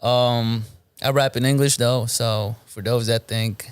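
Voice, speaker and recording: A man's voice: mid-range voice, measured pace, clean tone, no room sound. Young man from Belgium, Moroccan Arabic native. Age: 20s. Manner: relaxed, hesitant with frequent ums and restarts. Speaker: rapper, guest. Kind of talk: casual interview.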